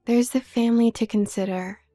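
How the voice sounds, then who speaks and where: A woman playing a haughty, manipulative younger werewolf sister from a noble family in an audio drama, speaking with condescending, needling smoothness. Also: a little high, fairly even intonation, fairly quick, dark tone, faint music behind, close to an everyday voice. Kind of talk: scripted audio roleplay drama.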